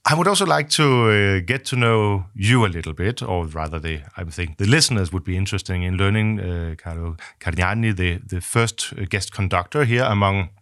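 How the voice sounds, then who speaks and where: A man's voice: low voice, normal speed, hardly any pauses, fairly bright tone, no echo, studio quality. A man hosting a podcast, asking long, rambling questions with many fillers. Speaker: podcast host. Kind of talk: interview questions.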